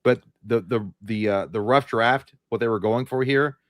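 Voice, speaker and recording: A man with a naturally deep voice, co-hosting a live movie chat with blunt, profane banter. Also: moderate pace, dry recording, studio quality. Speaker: podcast co-host.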